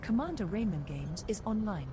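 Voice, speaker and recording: A woman's voice: mid-range voice, sing-song, normal speed, lightly acted. A woman voicing a cheeky, foul-mouthed spaceship computer that makes wisecracking announcements in a game. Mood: informative. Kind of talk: scripted game voice pack alerts. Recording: very noisy game audio behind.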